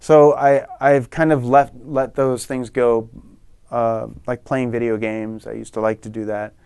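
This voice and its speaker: A middle-aged man talking in a relaxed, rambling way, often restarting his sentences, as a guest in an interview-style lesson. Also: medium pitch, unhurried, clear, resonant tone, no room sound, clean studio sound. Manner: relaxed, rambling, self-correcting. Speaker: guest in a language lesson show. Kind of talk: casual interview chat.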